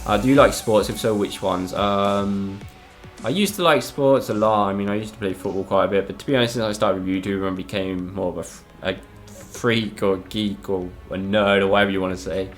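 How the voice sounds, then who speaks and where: Young guy from spain doing a youtube q&a, bit low voice, ums a lot, soft music in the back. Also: fairly quick. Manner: relaxed, hesitant, full of ums and "I don't know". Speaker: gaming YouTuber. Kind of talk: casual Q&A, reading and answering viewer questions.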